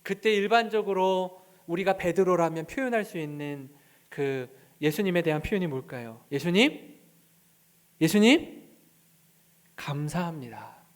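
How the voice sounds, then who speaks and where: A man's voice: high-pitched, normal speed, smooth. A male pastor preaching a sermon, telling the story with animation, acting out scenes and putting questions to the congregation. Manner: acts out scripture scenes with voices.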